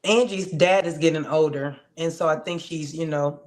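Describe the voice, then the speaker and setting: A woman's voice: low-pitched, moderate pace. A woman co-hosting a podcast, chatting casually and giving frank opinions. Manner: relaxed, conversational, frank opinions. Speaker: podcast co-host recapping reality TV. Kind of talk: casual chat.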